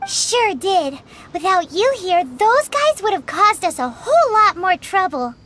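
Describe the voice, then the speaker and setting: A woman voicing a tiny, perky, cheeky childlike fairy companion in an anime video game, squeaky and exclamatory. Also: sing-song, a little slow, full character voice. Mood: excited. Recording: loud game music behind. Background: game music.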